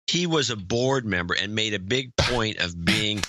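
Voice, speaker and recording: A man's voice: fairly low pitch, normal speed, heavy background noise. A man co-hosting a podcast in casual chat, blunt and opinionated. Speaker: podcast co-host.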